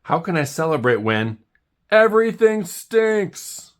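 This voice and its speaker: A man's voice: sits high, sing-song, takes it slowly, pitched above the performer's own voice, heavily acted. Grumpy, exasperated monkey acted by a man. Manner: complaining, snappy, sighing. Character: grumpy, sulking, exasperated male monkey Jim. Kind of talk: children's storybook character voice.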